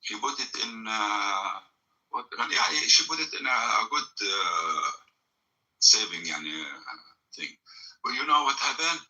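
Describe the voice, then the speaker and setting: Emphatic man, bright tense voice. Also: on the low side, lively intonation, a little slow, rough recording. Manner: broken English with many fillers, emphatic. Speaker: storyteller recounting family memory. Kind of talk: emotional personal storytelling.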